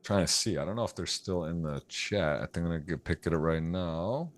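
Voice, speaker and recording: An American man with a fairly low voice hosts a live poker stream. He calls the hands in a rambling way, with many restarts and repeated words. His pace picks up and his energy rises when a big hand plays out. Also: lively intonation, fairly quick. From United States. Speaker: poker commentator and stream host. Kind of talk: live play-by-play commentary.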